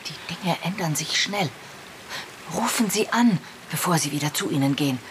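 A woman's voice: fairly low pitch, lively intonation, moderate pace, background noise, close to an everyday voice. An actress playing a strict, cautious French resistance woman who admonishes formally, in a German radio drama. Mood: alarmed, admonishing.

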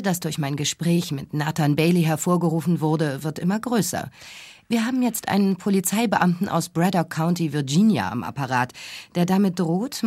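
An actress playing a combative, sarcastic radio talk show host in a German radio drama, self-assured and condescending. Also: female voice, deep voice, a little mellow, husky, no echo. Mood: theatrical, on air.

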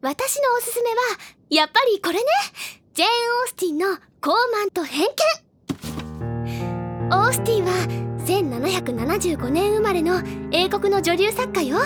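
Bookish teenage girl, very high voice, theatrical, proud, easily flustered, anime audio drama, music underneath. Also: lively intonation, normal speed. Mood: gushing, excited. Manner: theatrical, gushing, self-important.

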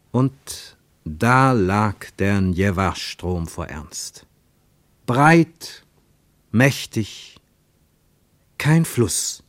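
A man narrating a literary radio play with measured, deliberate phrasing and dramatic pauses. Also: on the low side, darkish tone, no room sound.

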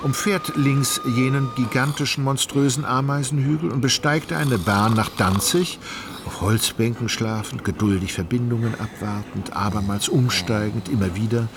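An actor narrating a literary radio play as an ironic, all-knowing storyteller, measured and ornate in his delivery. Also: medium pitch, background noise, close to an everyday voice. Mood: wry, measured. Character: wry, omniscient, ironic male storyteller. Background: music.

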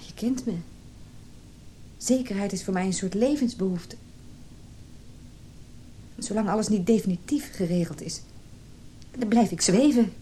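A woman's voice: medium pitch, measured pace, long pauses, dark tone, a little hiss or hum, natural voice. A woman playing an insecure, devoted lover in a radio drama, needy and pressing. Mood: anxious.

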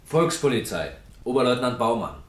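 An actor playing a calm, formal police lieutenant who questions persistently in a German radio crime drama. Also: male voice, medium pitch, close to an everyday voice. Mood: measured, official. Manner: measured, probing, formal questioning.

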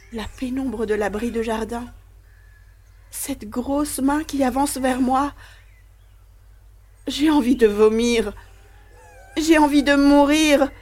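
Woman doing a scared little boy in an audio drama, high voice, really slow anxious halting delivery. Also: darkish tone. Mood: panicked. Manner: anxious, halting inner monologue. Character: terrified, ashamed young boy haunted by abuse. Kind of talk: dramatic first-person audio fiction monologue.

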